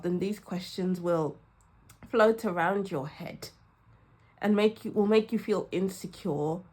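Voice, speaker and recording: A woman's voice: mid-range voice, darkish tone. Woman, sniffly from a cold, casual tarot reading, chatty, laughs often. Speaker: tarot reader.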